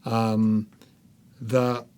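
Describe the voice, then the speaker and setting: A man being interviewed as an author, speaking conversationally and haltingly, repeating words as he thinks aloud. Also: on the low side, level tone, darkish tone, clear, resonant tone.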